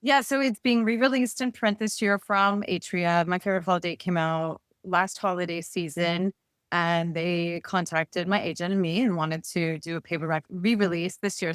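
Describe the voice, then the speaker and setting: A woman author being interviewed, pitching her books conversationally with upbeat, playful storytelling. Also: smooth, no room sound, clean studio sound.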